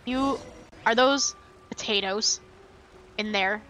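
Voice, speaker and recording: Playful woman streamer with a highish voice. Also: takes it slowly, sharp tone, slight background noise. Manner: playful, wry, talking back to game characters. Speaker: game streamer. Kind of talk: casual live-stream commentary. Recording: faint game music behind.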